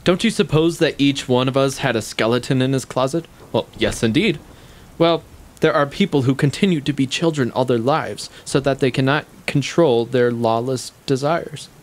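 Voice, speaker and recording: An actor playing a sceptical, composed confidant with formal, measured questioning in a stage play. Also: male voice, mid-range voice, lightly acted. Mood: sceptical, reasoning. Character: sceptical, probing, morally composed male confidant.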